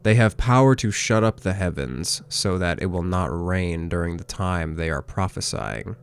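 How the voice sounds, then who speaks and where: A man narrating a scripted analytical video essay in a measured, conversational, explanatory way. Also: low-pitched, clear, resonant tone. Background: background music.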